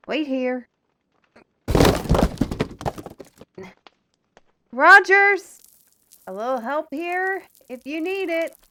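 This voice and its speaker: Slow, high-voiced, sardonic fantasy guide played by a woman. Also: sing-song, bright, forceful tone, a little hiss or hum, heavily acted. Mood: calling out. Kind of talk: fantasy audio roleplay acting.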